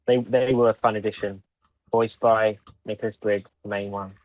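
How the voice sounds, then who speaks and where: A man with a lowish voice chats casually as a podcast co-host. The recording is very dry and a little muffled. His talk is conversational review. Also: level tone, normal speed, no room sound.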